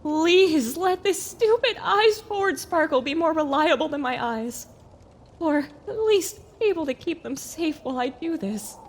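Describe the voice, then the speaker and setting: A woman acts a young pony heroine in an audio drama. Her voice is high, earnest and caring, with an anxious, self-reproaching streak. Faint ambient noise sits behind her. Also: animated, measured pace. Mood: anxious pleading.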